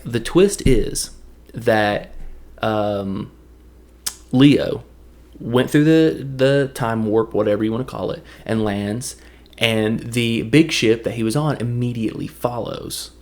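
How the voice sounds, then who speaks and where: A man's voice: mid-range voice, darkish tone. A man with a Tennessee accent giving a loose, joking, rambling recap of a movie. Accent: Tennessee Southern. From Tennessee, USA. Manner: loose, jokey, drifts into quick impressions. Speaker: movie reviewer.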